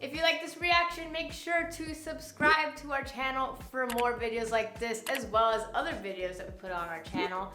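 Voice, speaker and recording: A woman with a fairly high, bright and forceful voice chats casually in loose slang as a reaction host. She sounds roomy, with music clearly playing behind her. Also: moderate pace, few pauses. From United States, Miami. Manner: slangy, sweary.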